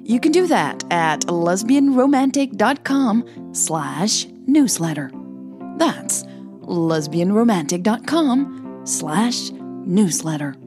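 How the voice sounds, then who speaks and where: A woman's voice: medium pitch, very animated, slow pace, over music. A woman hosting a podcast and narrating a scripted audio story.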